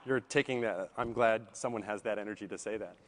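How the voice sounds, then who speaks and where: A hoarse man moderating a conference panel, subdued and polite as he introduces guests and asks questions. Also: on the low side, fairly quick. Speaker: session moderator. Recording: long hall reverb.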